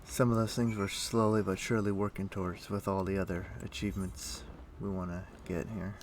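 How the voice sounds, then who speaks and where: A man livestreaming a game, chatting casually and thinking aloud in a rambling way, with a rough morning voice. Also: deep voice, flat delivery, fairly quick, a little hiss or hum. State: rough morning voice, throat clearing. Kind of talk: casual chat while playing an online game.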